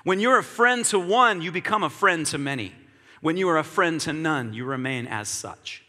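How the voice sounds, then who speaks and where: Bright-voiced preacher man in a reverberant hall. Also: a little high, lively intonation, moderate pace. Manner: earnest, reflective preaching with repeated phrases. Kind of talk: sermon.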